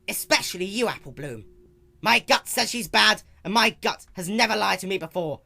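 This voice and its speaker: A man's voice: sits high, animated, normal speed, gravelly, sharp tone, pitched above the performer's own voice, full character voice. A man voicing a cranky, distrustful old granny pony, snapping in folksy phrases for a story reading. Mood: stubborn, angry.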